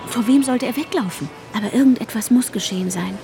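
An actress playing an anxious wife searching for her missing husband in a radio drama, urgent and breathy, often slipping toward a whisper. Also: on the high side, brisk. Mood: tense, inward. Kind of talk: radio drama acting with intimate inner monologue. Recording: guitar music behind the voice. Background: guitar music.

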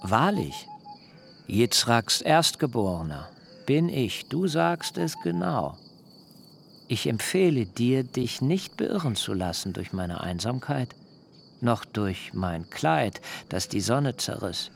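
An eloquent, destitute yet proud wanderer in a radio drama. His mid-pitched voice carries a marked creak, and he declaims very slowly in ornate, measured phrases. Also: very animated, a little hiss or hum, full character voice. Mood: assured, persuasive. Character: destitute but proud, eloquent fugitive nephew, smooth-tongued. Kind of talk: literary radio drama acting.

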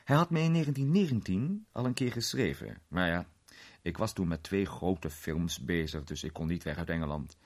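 Deep, husky-voiced worldly male storyteller. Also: expressive, normal speed, full character voice. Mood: reminiscing. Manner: conversational, hesitant, theatrical storytelling. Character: worldly male film actor recounting an unsettling memory. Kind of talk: radio drama storytelling in character.

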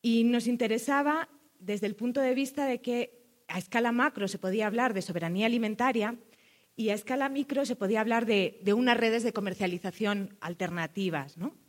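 Spanish-accented woman giving a panel talk. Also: a little high. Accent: Spanish (Spain). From Spain. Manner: thoughtful, pauses on fillers, often ends points with a questioning "no?". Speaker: cultural manager and public official presenting a project. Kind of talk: panel presentation to an audience.

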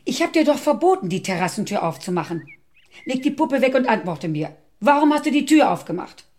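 An actress playing a brisk housekeeper in a radio play. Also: female voice, high voice, very animated, a little mellow. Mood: scolding. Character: brisk middle-aged housekeeper.

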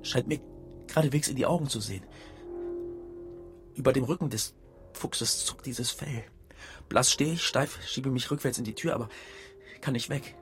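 An actor playing a haunted, dreamy hunter's son in a poetic radio monologue, absorbed and halting. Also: male voice, medium pitch, soft background music, lightly acted. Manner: absorbed, halting, broken-off sentences.

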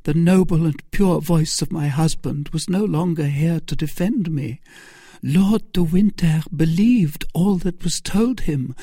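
A man's voice: high-pitched, dark tone, husky, no room sound, clean studio sound, pitched above the performer's own voice, heavily acted. A man voicing a desperate, scheming captive noblewoman in a melodramatic, imploring manner for a storybook.

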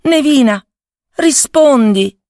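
Young storybook prince, played by a woman: proud, ardent, tender, highly animated intonation, close dry sound. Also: takes it slowly, dry recording. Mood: alarmed. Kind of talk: storybook fairy-tale character voice.

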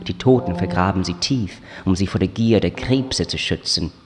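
A man giving a measured, scripted literary narration for a radio drama, telling the story in short declarative phrases. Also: deep voice. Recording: loud music under the voice. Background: loud music with brass sounds.

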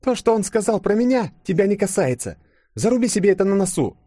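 A man's voice: high-pitched, lively intonation, brisk, a little mellow, no echo, pitched above the performer's own voice. A man voicing a feisty, bossy young woman who speaks in a snappy, demanding way for an audiobook. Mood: angry, demanding.

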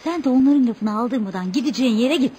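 An actress playing a sensible, exasperated wife with a reproachful manner in a radio play. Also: female voice, a little high, expressive, fast, warm tone, a little hiss or hum, close to an everyday voice. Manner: reproachful, admonishing. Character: exasperated, sensible wife scolding a boastful man. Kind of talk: radio theatre acting.

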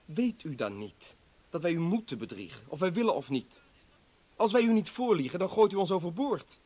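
An actor in a radio play playing a bitter, defiant doctor who was a political prisoner, arguing with sarcasm and indignation. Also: male voice, high voice, big pitch swings, normal speed, darkish tone. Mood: scornful, agitated. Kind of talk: dramatic radio play acting.

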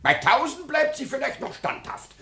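Bright, grandiose, gold-mad schemer. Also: male voice, very high-pitched, very animated, normal speed, reverberant, heavily acted. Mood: gloating. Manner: grandiose, declamatory, gloating. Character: rich, cunning, gold-worshipping male schemer. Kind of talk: theatrical German radio play acting.

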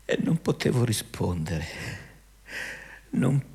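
A man playing a frightened, tortured prisoner who pleads haltingly with his judge in a theatrical radio drama. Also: mid-range voice, very animated, creaky, dark tone, exaggerated voice. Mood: broken, anguished.

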